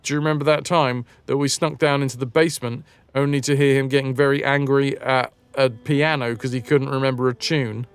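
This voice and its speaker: A man's voice: mid-range voice, steady tone, fairly quick, hardly any pauses, clear, resonant tone. A man doing casual live video game commentary with a sardonic, dry sense of humour. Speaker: live streamer. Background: game music.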